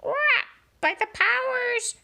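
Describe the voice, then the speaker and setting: A bossy pirate parrot from a storybook squawks in a shrill, very high voice. It stretches out its pirate slang slowly, and the tone stays bright and sharp. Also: female voice, vocal fry, exaggerated voice. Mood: grumbling. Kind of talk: storybook read-aloud.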